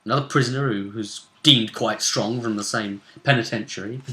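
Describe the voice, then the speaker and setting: Bright-voiced man recapping a game. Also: lowish voice, normal speed, roomy sound. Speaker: host of a tabletop role-play recap show. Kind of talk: casual recap chat.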